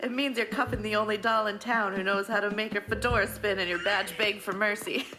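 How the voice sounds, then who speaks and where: A woman playing a sultry, sharp-tongued 1940s femme fatale in radio noir style, with a Southern US accent. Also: mid-range voice, steady tone, fairly quick, music in the background, natural voice. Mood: defiant.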